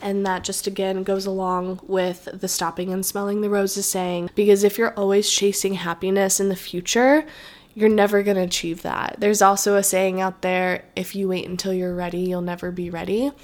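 A young woman talks through her solo podcast in a loose, rambling and reflective way. Her voice is a little nasal from allergies and sits at an ordinary middle pitch. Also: little pitch movement, moderate pace, darkish tone. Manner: chatty, rambling, self-reflective. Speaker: podcast host. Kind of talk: casual solo podcast chat.

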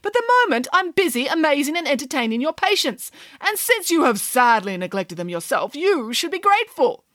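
A woman's voice: high-pitched, very animated, brisk, a bit bright, no room sound, clean studio sound, voice pushed up. A woman voicing a bossy, imperious female pig nanny in a storybook, speaking haughtily and properly. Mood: haughty.

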